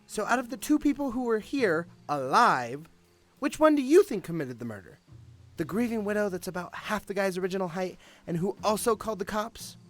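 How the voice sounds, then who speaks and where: A man playing a cynical, impatient police detective in an audio drama, with a sarcastic, emphatic way of talking. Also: sits high. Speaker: voice actor.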